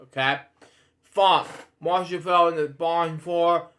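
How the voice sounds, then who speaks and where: A man hosting a read-aloud of children's stories in a casual, halting, rambling way with off-the-cuff asides. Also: on the high side, steady tone, a bit bright. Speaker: host reading bedtime stories.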